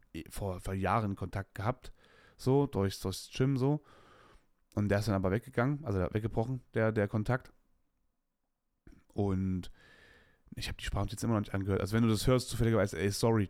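A man's voice: on the low side, a little mellow, no echo. Late 20s guy rambling on his podcast, slangy and candid, dry close sound. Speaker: podcast host. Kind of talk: casual personal monologue.

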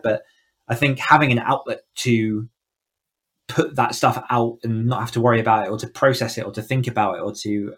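A man's voice: lowish voice, a bit bright. Man in casual, reflective interview chat. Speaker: podcast guest, horror author.

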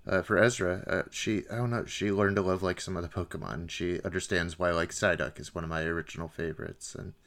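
A man with a very low-pitched voice talks in a relaxed, conversational way. He comes across as a podcast panelist having a casual chat. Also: brisk, few pauses.

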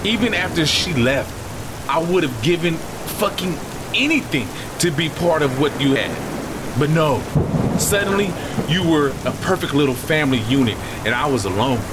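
An actor playing a bitter, grieving brother who talks in a raw, anguished way. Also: male voice, fairly high pitch, sing-song, moderate pace. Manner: raw, anguished, shifts from resentful to shouting. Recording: noisy storm backdrop.

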